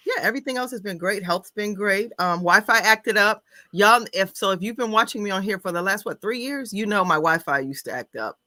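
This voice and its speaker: A woman co-hosts a casual livestream with a bright, forward voice. She tells stories about her week in an upbeat, chatty way, and her voice sounds dry and close to the mic. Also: medium pitch, brisk, few pauses, dry recording. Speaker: co-host.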